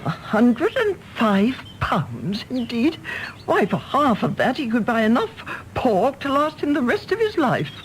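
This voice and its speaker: A woman's voice: on the high side, huge pitch swings, unhurried, slight background noise, low-quality recording. An actress playing an anxious, domineering older English mother in a radio drama, querulous and imperious. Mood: indignant. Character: anxious, domineering, self-pitying mother of a grown son. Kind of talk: British radio drama acting.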